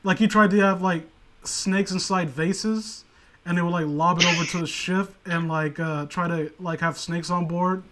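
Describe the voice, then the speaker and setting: A man's voice: high-pitched, normal speed. A man hosting a casual chat before a story reading, ranting and joking with plenty of swearing. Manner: profane, joking, animated banter. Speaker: host of a story reading stream.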